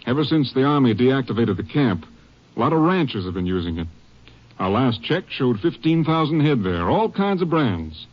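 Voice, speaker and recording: A man acting a practical Texas Ranger captain in a 1950s radio drama, with folksy, deliberate authority. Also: medium pitch, very animated. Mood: thoughtful. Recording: muffled old radio recording.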